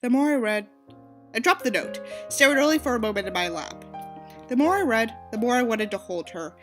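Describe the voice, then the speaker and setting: A woman's voice: a little high, expressive, a little fast, soft background music. A woman reading a novel aloud with sardonic commentary, in an exasperated, sarcastic manner with sweary asides. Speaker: audiobook reader and commentator.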